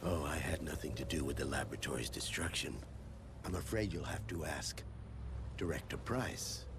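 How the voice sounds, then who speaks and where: An actor playing an older cult leader in a video game, soothing yet sinister, murmuring grandly and paternally. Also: male voice, rumbling low, noisy background. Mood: calm, accusing. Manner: slow, grandiose, paternal, formal wording. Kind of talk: dramatic video game cutscene acting. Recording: loud eerie music behind.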